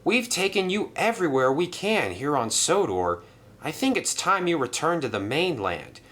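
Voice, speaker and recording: A man's voice: on the high side, very animated, normal speed, a bit bright, pitched above the performer's own voice. A man voicing a friendly, eager male engine in a storybook reading.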